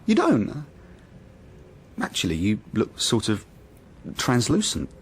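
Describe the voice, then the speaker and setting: A woman's voice: a bass voice, huge pitch swings, takes it slowly, a little hiss or hum, heavily acted. A woman playing a blunt, attentive, kindly butcher in radio drama dialogue, speaking in a direct, questioning way. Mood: concerned.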